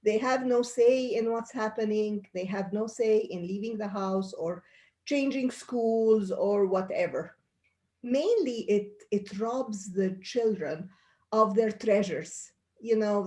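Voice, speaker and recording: A woman's voice: mid-range voice, slow, dark tone. A middle-aged woman from Egypt, a pediatrician being interviewed, explaining things earnestly with frequent restarts. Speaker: pediatrician guest. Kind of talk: interview answers.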